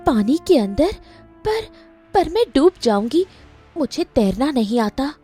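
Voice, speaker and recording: Woman playing a timid, high-pitched village girl. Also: sing-song, measured pace, mellow, soft background music. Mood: frightened. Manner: breathless, stammering, repeats words in fear. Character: timid, innocent village girl, frightened and wide-eyed.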